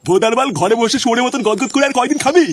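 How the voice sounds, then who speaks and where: A man plays a grumpy village father in a comedy cartoon. His voice is very high for a man and rattles along quickly, nagging and crude, over faint noise. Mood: scolding. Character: grumpy scolding middle-aged village father of a lazy son. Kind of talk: comic Bengali cartoon dubbing.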